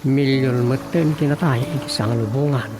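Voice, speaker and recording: A man's voice: medium pitch, moderate pace, music in the background, close to an everyday voice, poor audio. A man acting a grumbling village man in an Ilocano comedy radio drama, muttering and exclaiming to himself.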